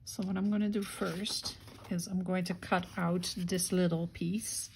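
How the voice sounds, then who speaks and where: A woman's voice: on the low side, level tone, measured pace, creaky, a little hiss or hum. A woman hosting a casual craft-along, unhurriedly thinking aloud and murmuring to herself as she works. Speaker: craft tutorial host.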